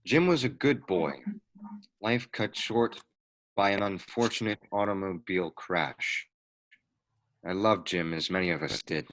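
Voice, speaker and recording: A man playing a solemn, measured reverend delivering a eulogy in an amateur play readthrough. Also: sits low, a bit bright, poor audio. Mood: solemn, reverent. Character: solemn, smooth-talking reverend giving a eulogy.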